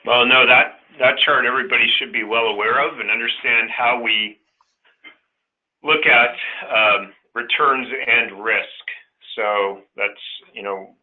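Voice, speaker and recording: A man's voice: mid-range voice, fairly even intonation, a little slow. Man on a tinny phone line. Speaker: financial advisor co-hosting a client webinar. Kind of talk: conversational explaining to listeners. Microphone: telephone.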